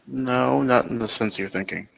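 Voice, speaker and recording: A man playing a grave, measured fae changeling in improvised roleplay dialogue, speaking seriously and deliberately. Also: medium pitch, gravelly, fairly bright tone, rough recording. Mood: grave, explaining.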